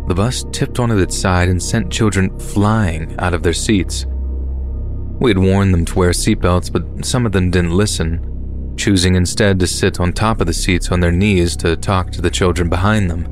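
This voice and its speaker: Very deep-voiced male story narrator. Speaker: narrator of listener-submitted true stories. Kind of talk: scripted horror story narration. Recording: music bed under the voice. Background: background music.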